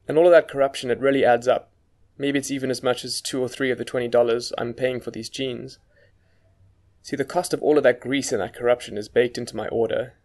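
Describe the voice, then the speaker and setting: A man's voice: mid-range voice, a little mellow. A man with a South African accent giving scripted first-person podcast narration in a wry, conversational way. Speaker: guest podcast presenter.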